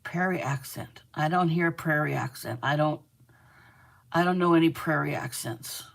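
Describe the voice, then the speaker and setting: Chatty low-voiced Canadian woman. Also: moderate pace, vocal fry. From Manitoba, Canada. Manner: chatty, laughs often, teasing. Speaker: host of a live crochet stream. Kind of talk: casual chat, reading viewer comments aloud.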